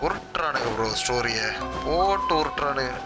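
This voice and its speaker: Very fast-talking Tamil male game streamer. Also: mid-range voice, lively intonation. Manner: offhand, colloquial, mixes in English words. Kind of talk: casual live gameplay commentary. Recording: loud game audio and music behind.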